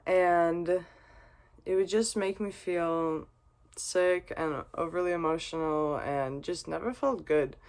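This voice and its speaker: A woman's voice: fairly low pitch, slow pace, a little mellow, smooth. A woman vlogging a rambling, earnest monologue after a drink, swearing and laughing often. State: has been drinking vodka. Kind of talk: casual reflective monologue.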